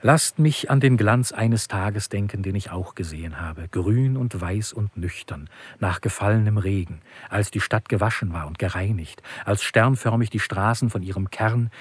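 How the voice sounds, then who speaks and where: An actor playing a solemn, weary man in a poetic radio play, with a husky, deliberate delivery. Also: lowish voice, no room sound.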